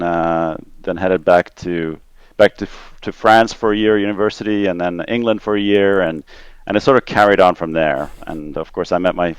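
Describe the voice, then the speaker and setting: A low-pitched man from Finland in middle age, guesting on a podcast and speaking over a remote line. His voice is smooth and resonant, and the sound is dry, with almost no room echo. He talks in a thoughtful, halting way and repeats words while he searches for phrasing. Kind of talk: casual interview conversation. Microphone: remote call line.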